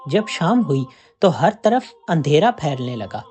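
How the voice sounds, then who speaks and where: A narrator telling a scripted moral story in an expressive, audiobook-like way. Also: mid-range voice, unhurried. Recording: music behind the voice. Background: background music.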